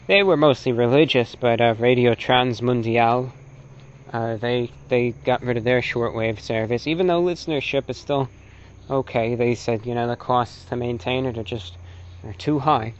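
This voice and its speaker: A man with an American accent and a medium-pitched voice delivers an off-the-cuff, opinionated talk. He speaks haltingly but with emphasis, often restating short phrases to drive a point home. The recording is somewhat dull and lo-fi. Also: a little hiss or hum. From North America. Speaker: presenter, shortwave radio enthusiast. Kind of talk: unscripted opinionated lecture to camera.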